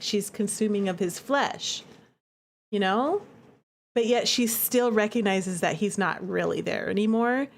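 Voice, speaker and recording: A woman with a fairly high voice talks casually to her livestream audience. She is chatty and expressive, commenting as a game developer and repeating points for emphasis.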